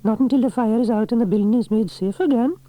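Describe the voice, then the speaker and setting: A man doing a brisk, kindly firefighter woman. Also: sits high, mellow, no room sound, pitched above the performer's own voice, close to an everyday voice, poor audio.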